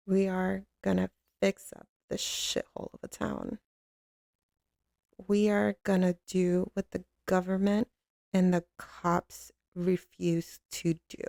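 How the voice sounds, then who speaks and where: A woman acting as a commanding female crime boss softening for a sweetheart in an ASMR roleplay. The voice is mellow and full of gravelly fry, delivered slowly and intimately. It sits close on the mic in a very dry space, with swaggering, slangy devotion. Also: mid-range voice, dry recording. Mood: determined, vowing. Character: tough, commanding female mafia boss, tender and doting with lover. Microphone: close studio microphone, dry.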